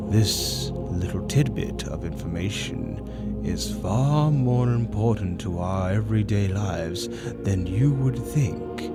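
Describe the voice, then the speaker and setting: A man voicing a grandiose avian demon tempter in a dark fantasy roleplay monologue, lecturing persuasively with theatrical, drawn-out emphasis over gothic music. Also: lowish voice. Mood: smooth, persuasive. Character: male avian demon arch-tempter, grandiose philosophical seducer. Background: loud dark gothic music.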